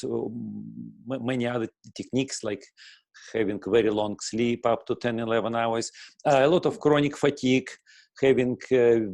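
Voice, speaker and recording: A middle-aged man from Russia with a Russian accent, a guest answering questions in halting speech full of fillers and restarts, often clearing his throat. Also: mid-range voice, darkish tone, no room sound. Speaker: guest, breathing teacher. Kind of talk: casual interview answer.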